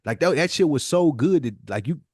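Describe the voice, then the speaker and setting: A man's voice: fairly high pitch, lively intonation, fairly quick, darkish tone, breathy edge, dry recording, studio quality. A man co-hosting a podcast, debating and telling stories about hip-hop in an emphatic, slangy, casual way, often repeating himself for emphasis. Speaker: podcast co-host.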